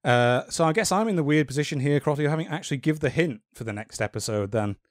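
A man hosting a casual podcast, talking in a measured way with wry, dry humor. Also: on the high side, animated, no room sound. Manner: measured, wry and dryly joking. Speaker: podcast host.

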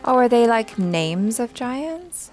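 A woman's voice: a little high, big pitch swings, slow, over music. A woman giving casual reaction commentary on a video game.

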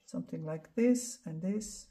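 Slow, soft-voiced Dutch woman teaching. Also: lowish voice. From Netherlands. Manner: calm step-by-step explaining while demonstrating. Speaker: art instructor. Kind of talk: painting tutorial voice-over.

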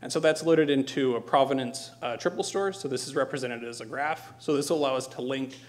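A middle-aged man delivers a technical presentation at a conference. His voice sits at a medium pitch. He speaks somewhat haltingly, often filling gaps with ums and pausing as he explains. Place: lecture hall stage.

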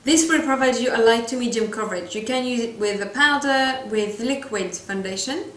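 A woman in her thirties speaks English with a French accent, her voice sitting a little high. She chats through a makeup tutorial in a playful, loose way, pausing to hunt for English words. The camera mic catches the echo of a small room. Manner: chatty, playful asides, searching for English words. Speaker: makeup vlogger. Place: small room indoors, seated.

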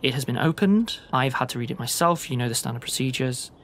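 A man playing a hesitant, grave man in a tabletop roleplay, speaking formally and carefully, with halting delivery. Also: mid-range voice, expressive, fairly bright tone. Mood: tense, formal. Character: hesitant, grave man breaking difficult news. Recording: clear background noise.

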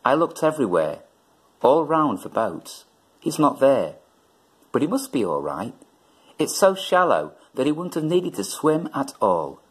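A man's voice: fairly low pitch, slightly rough. A middle-aged man reading a children's story aloud expressively. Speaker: story reader. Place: indoors in a plain room.

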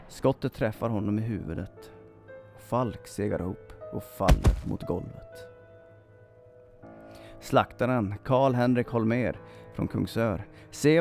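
A man in his thirties reading a scripted true-crime story in a measured, dramatic storytelling manner. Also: medium pitch. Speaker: storyteller, channel host. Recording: music under the voice. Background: background music.

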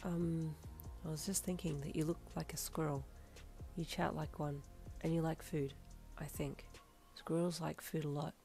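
A woman acts out an absent-minded young male warrior from an anime story. He speaks softly and vaguely, in a blank deadpan with hesitant little pauses. Faint music plays behind. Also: low voice. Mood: detached, vague.

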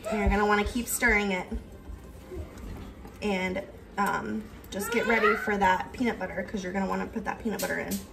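A woman's voice: medium pitch, fairly even intonation, brisk, gravelly, far from the mic, over loud music. A young woman casually narrating a cook-along in her home kitchen, explaining each step with friendly fillers. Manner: chatty, explaining steps with fillers. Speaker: cooking vlogger.

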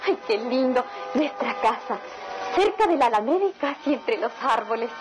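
An actress playing a sweet, excitable young daughter in a radionovela. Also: female voice, fairly high pitch, moderate pace, over music, close to an everyday voice, poor audio. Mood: delighted. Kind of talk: radionovela acting.

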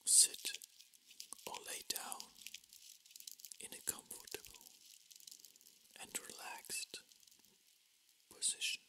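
A meditation guide whispering softly and breathily in an ASMR style, soothing, in short phrases with long pauses. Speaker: meditation guide, Reiki master.

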